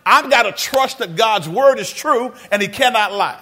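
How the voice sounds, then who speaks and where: A man's voice: sits high, expressive, normal speed, bright, forceful tone. A man preaching a sermon in a church. He calls out questions to the congregation and talks to them in a folksy way. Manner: call-and-response preaching, asks the congregation questions; folksy, addresses listeners as "y'all" and "baby". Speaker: pastor.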